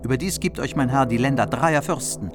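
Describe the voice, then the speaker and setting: A man's voice: mid-range voice, a little fast, close to an everyday voice. A man playing an earnest, courteous margrave pleading as an envoy in a German radio play. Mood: consoling, persuasive. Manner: formal, courteous, persuasive. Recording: music behind the voice. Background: music.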